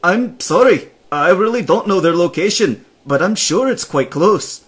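A man's voice: high-pitched, animated, a little slow, slightly rough, voice pushed up, full character voice. A man doing a cheerful, jumpy desert nomad. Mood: chatty.

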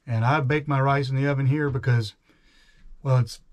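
A man in his forties giving a casual, halting, step-by-step cooking demonstration in a small indoor space. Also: medium pitch, steady tone. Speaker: vlogger, home cook. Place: small boat galley, indoors. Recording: clear background noise, clicks.

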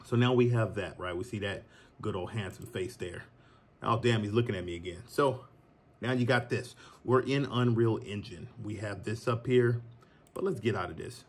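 A man in his thirties giving a relaxed, chatty software tutorial from his home office, recorded on a phone and talking to viewers as y'all. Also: on the low side, normal speed, breathy edge. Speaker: filmmaker teaching a tutorial. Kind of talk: casual screen-recorded tutorial. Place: green screen studio, then home office.

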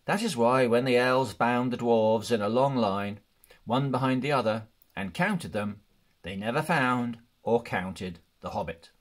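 Male storybook narrator, mid-range pitch, slow and deliberate read. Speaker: audiobook reader.

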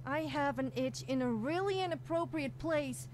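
A woman's voice: high voice, moderate pace, slight background noise, lightly acted. A woman playing a cheeky, needy, self-deprecating young adventurer companion in a video game, flippant and confessional. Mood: cheeky, wry. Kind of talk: scripted video game character dialogue. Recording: game audio with music behind.

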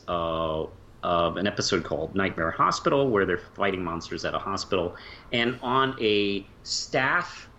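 Deep-voiced man, lively swooping delivery. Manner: halting, trailing off mid-phrase and repeating words. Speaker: podcast co-host. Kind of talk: casual fan discussion of animation. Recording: muffled low-fi mic with faint hiss.

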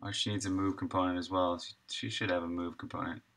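A man's voice: sits low, flat delivery, fast talker, a bit bright, a little hiss or hum. A man in his thirties livestreaming game development, casually thinking aloud in short, muttered thoughts as he works.

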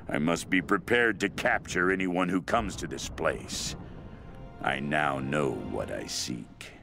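A man's voice: low-pitched, vocal fry, full character voice. An actor playing a fanatical, mad cult leader obsessed with a queen, delivering a brooding, menacing diary monologue for a video game. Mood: calculating, intense. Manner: slow, brooding, menacing, intense.

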